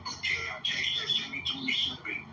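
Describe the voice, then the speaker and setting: A man with a raspy, deep voice, hoarse and in pain, calling off work and pleading to be heard on a phone call. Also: fairly quick, sharp tone, reverberant. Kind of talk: heated phone argument.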